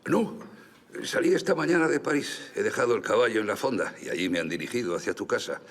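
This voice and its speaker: An actor playing a prim, easily scandalised older gentleman in an audio drama, fussy and expressive in manner. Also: medium pitch, quick pace, close to an everyday voice. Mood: affectionate.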